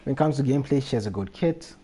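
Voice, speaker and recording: Mellow-voiced man chatting on a game stream. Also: medium pitch, animated, rapid-fire, husky. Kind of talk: casual gaming livestream chat.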